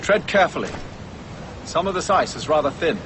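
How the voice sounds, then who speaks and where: An actor playing a stern, formal, authoritative older grand master in a video game. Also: male voice, fairly high pitch, lively intonation, a little fast, background noise, lightly acted. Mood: cautioning.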